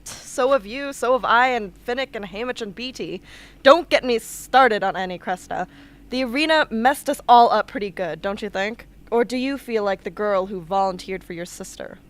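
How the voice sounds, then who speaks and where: An actress as a battle-scarred young female rebel with a fairly high voice. She is dry, brash and says exactly what she thinks, in a radio play. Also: moderate pace, lightly acted. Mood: frank, encouraging. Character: sardonic, blunt young woman, battle-scarred victor and rebel. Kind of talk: amateur radio drama acting.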